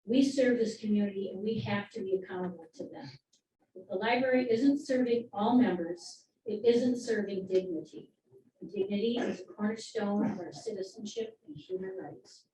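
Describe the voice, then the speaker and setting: A middle-aged woman from Michigan giving an earnest, steady public comment at a community meeting. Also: medium pitch, normal speed. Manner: earnest, steady advocacy speech. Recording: echoey room, far from the mic, faint noise.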